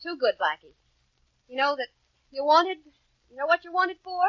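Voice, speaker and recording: An actress playing a detective's wry, anxious girlfriend, quick and pointed, in 1940s radio drama. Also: high-pitched, animated, no echo. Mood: sardonic. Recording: dull, dry old radio recording.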